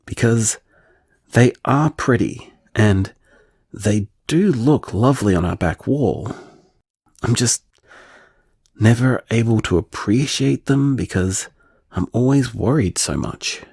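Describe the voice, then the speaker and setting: A man playing an anxious, tender man confiding in voicemails to his partner in an intimate audio drama, hesitant and earnest, with halting phrasing. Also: medium pitch, expressive, darkish tone, breathy edge, no echo, studio quality, full character voice. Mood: determined, hesitant.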